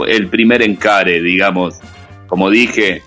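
A man's voice: low voice, takes it slowly, over music. A man on a comedic radio show, lecturing with mock authority and listing dating tips.

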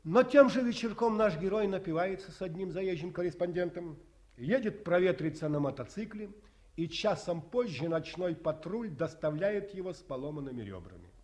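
An actor playing a stern middle-aged army colonel who tells stories with sardonic bite, swinging between hushed and barking. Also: male voice, high voice, animated, normal speed. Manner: sardonic storyteller, swings between hush and bark. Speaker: actor in a radio play. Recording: 1950s studio radio recording.

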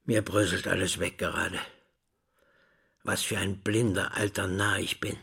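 A man's voice: low voice, level tone, unhurried, vocal fry, sharp tone, husky, exaggerated voice. An actor playing a troubled older school director in a drama, stern, insistent and formal as he questions. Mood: despairing. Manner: insistent, interrogating, formal address. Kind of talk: German stage-style radio play dialogue.

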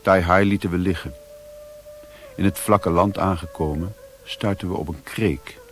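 An actor playing a reflective adventurer who narrates his journey in a radio play, in measured, sober, literary phrases. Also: low voice, steady tone, faint music behind. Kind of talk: scripted first-person radio play narration. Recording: hissy old radio play recording.

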